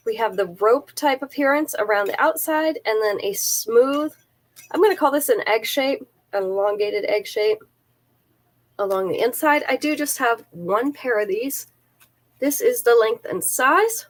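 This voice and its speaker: Woman, slightly high voice, stuffy nose, chatty live seller, detailed descriptions, close camera mic. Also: lively intonation, a little slow. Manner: chatty, careful item-by-item descriptions.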